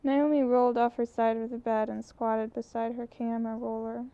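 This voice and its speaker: Gravelly woman reading a novel aloud. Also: on the high side, flat delivery, moderate pace, mellow. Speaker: audiobook narrator. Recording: muffled lo-fi recording.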